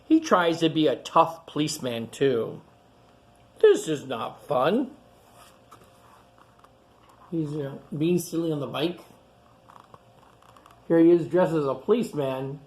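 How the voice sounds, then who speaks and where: A man's voice: a little high, sing-song, darkish tone. A man hosting a storytime, reading a picture book aloud to children and stopping to comment on the pictures in simple, repetitive phrases. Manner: pauses to point out and comment on pictures. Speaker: storytime reader and host.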